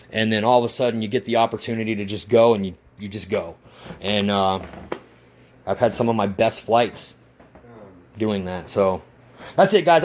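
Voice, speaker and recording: A man vlogging casually about his model plane build, rambling and swearing with excitement. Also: medium pitch. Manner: rambling, profane, enthusiastic. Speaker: RC hobby vlogger. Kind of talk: casual workshop vlog.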